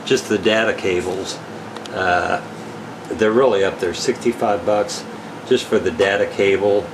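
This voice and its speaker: A man giving a casual, unscripted product rundown as a gear reseller, halting and technical in a matter-of-fact way. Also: lowish voice, background noise. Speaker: radio equipment reseller presenting gear.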